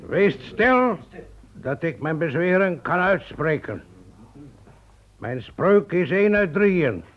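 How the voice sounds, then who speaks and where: An actor in a radio play playing a pompous, imperious magician who speaks in a commanding, incantatory manner. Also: male voice, sits high, sing-song, slow pace, heavily acted. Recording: dull old radio recording.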